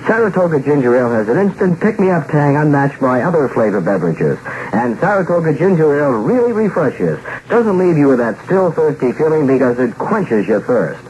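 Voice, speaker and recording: An American man reads radio ad copy in a polished, very lively voice. The old recording is dull, with faint noise. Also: on the high side, brisk, hardly any pauses. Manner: polished sales delivery. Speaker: station announcer. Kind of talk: scripted 1960s radio advertisement.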